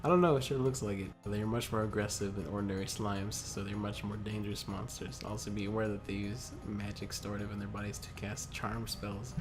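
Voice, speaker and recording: A man with a lowish voice reads aloud informally, like he is goofing around. He stumbles, adds joking asides and gets giggly. Video game music is clearly audible behind him. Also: steady tone, brisk, few pauses. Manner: stumbles over the text, breaks into giggles. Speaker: co-host reading wiki entries aloud. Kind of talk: casual, jokey reading aloud with asides. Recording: music playing over the voice.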